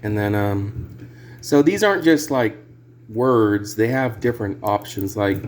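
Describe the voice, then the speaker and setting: A man's voice: fairly low pitch, unhurried. A man giving a casual, unscripted music gear demo in a rambling, hesitant, sweary way. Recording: clear background noise.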